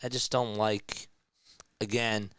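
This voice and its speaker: A man calling a basketball game live, play by play, sounding a bit raspy and nasal as he gets over a sore throat, chatting casually between plays. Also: lowish voice, flat delivery, takes it slowly, a bit bright, no room sound. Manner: conversational, reads and answers chat comments. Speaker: play-by-play commentator.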